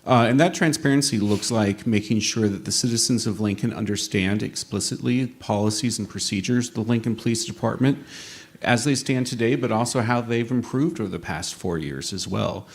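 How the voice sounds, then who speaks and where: A man's voice: lowish voice, fairly quick. A man giving a formal political answer at a forum, with emphatic, policy-heavy phrasing. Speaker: city council candidate.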